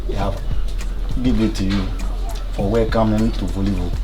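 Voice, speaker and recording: A man's voice: lowish voice, steady tone, normal speed, far from the mic. A man with a Ghanaian accent giving a ceremonial welcome speech as a local host. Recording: music behind the voice. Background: music.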